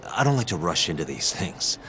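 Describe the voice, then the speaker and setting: A man's voice: low-pitched, sing-song, fairly quick, bright, forceful tone, a little hiss or hum, full character voice. An actor playing an easygoing, slightly awkward male private investigator in a video game, casual and hesitant. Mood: flustered, surprised. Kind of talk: English game dub cutscene acting, naturalistic. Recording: music behind the dialogue.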